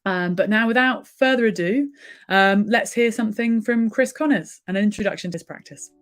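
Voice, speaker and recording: A woman facilitating an online wellbeing session, talking gently with frequent ums and restarts. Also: medium pitch, moderate pace. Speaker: workshop facilitator.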